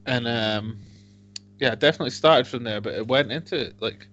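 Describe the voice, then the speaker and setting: A man co-hosting a casual whisky podcast, dry and a bit hesitant, often trailing off quietly. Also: medium pitch, normal speed. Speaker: podcast co-host. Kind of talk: casual tasting chat. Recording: dull lo-fi home podcast audio.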